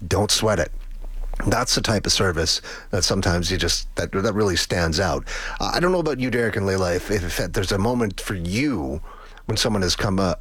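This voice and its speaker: A man's voice: fairly low pitch, a bit bright, no echo. A man with a Canadian accent hosting a radio talk show, chatting in a rambling, conversational way and often repeating words. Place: radio studio.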